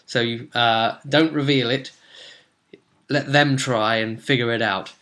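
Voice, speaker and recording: A young man giving a casual, halting, animated storytelling recap of his tabletop roleplay campaign to camera. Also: mid-range voice. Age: young adult. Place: small room at home, indoors.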